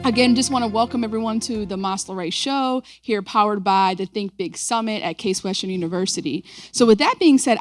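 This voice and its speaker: Upbeat woman interview host. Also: mid-range voice. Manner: upbeat, encouraging. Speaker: podcast host, emcee. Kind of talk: casual interview and stage introduction. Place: event venue.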